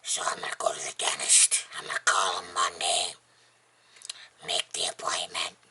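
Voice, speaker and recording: A vlogger with a husky, breathy, gravelly voice, chatting casually in a rambling, folksy, plainspoken and unhurried way. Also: deep voice, fairly even intonation, sharp tone, whispered. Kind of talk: casual rambling chat to camera.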